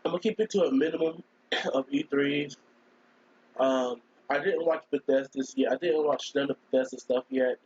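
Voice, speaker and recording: A man with a cold, hoarse and scratchy, chatting casually on a live stream and rambling as he keeps clearing his throat. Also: medium pitch, normal speed, darkish tone, highs cut off. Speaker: gaming streamer.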